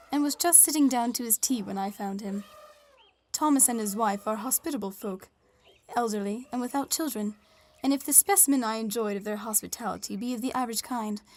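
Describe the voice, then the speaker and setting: A woman's voice: on the high side, darkish tone, lightly acted. An actress playing a polite, inquisitive newspaper reporter in an audio drama, formal and articulate as she narrates and questions.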